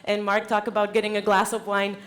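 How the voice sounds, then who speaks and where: A woman's voice: mid-range voice, monotone, brisk, fairly bright tone. A woman from the Czech Republic with a Czech accent, giving an informal motivational presentation to an audience in a playful, joking, conversational way. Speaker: conference keynote speaker.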